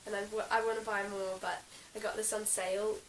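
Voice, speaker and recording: A young Australian woman, croaky from being sick, chatting casually in a vlog about her favourite horse gear, giggling often and filling her talk with ums and likes. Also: medium pitch, flat delivery, fast. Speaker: equestrian vlogger. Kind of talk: casual show-and-tell vlog. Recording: roomy, slightly distant with hiss.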